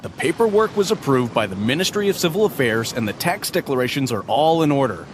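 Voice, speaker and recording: A man's voice: medium pitch, very animated, a little fast, background noise, close to an everyday voice. A man voicing a plain, reassuring dock worker in a video game cutscene.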